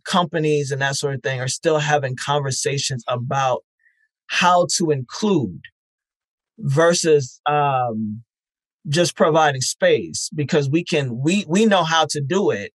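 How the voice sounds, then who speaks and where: Man, interview guest, somewhat higher voice, reflective and frank, laughs easily, dry close recording. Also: slow, dry recording, poor audio. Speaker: festival founder, interview guest. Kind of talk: casual interview chat.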